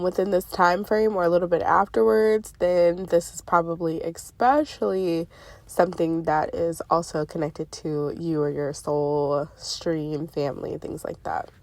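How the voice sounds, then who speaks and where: Gravelly low-voiced congested woman. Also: dry recording. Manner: chatty, meandering, many fillers and self-corrections. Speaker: spiritual vlogger sharing dreams. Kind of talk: casual rambling monologue.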